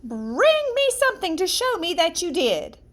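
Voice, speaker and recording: Woman acting a high-pitched, imperious evil storybook queen. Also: wildly swooping pitch, unhurried, fairly bright tone, heavily acted. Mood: commanding. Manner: imperious, theatrical. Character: proud, vain, scheming evil storybook queen.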